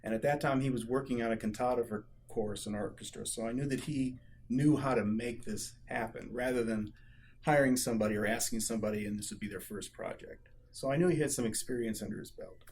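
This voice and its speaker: A man's voice: medium pitch, fast, darkish tone. A man in an unscripted interview, explaining things as a conversational storyteller. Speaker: interviewed musician, violist. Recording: noticeable room ambience and noise.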